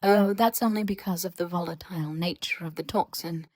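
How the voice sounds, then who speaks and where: A woman playing an aloof, sarcastic researcher who lectures in a measured, formal and dry way in an audio story. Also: fairly low pitch, fairly even intonation, darkish tone, no echo, close to an everyday voice. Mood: measured, lecturing. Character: aloof, sarcastic, scholarly government researcher speaking through voice-disguising charm. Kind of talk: scripted audio fiction dialogue.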